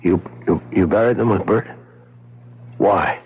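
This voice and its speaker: An actor playing a concerned, rational husband in a 1970s radio drama, husky and earnest, often repeating himself as he reasons. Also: deep voice, measured pace, heavily acted. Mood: alarmed. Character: concerned, rational husband soothing his wife. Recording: muffled old radio broadcast.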